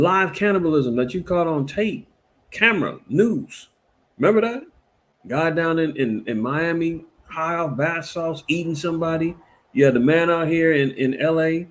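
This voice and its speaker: A man speaks in a somewhat high voice. He rambles through casual reaction commentary, animated and emphatic, with lots of repeats and fillers. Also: a little slow. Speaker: commentary show host.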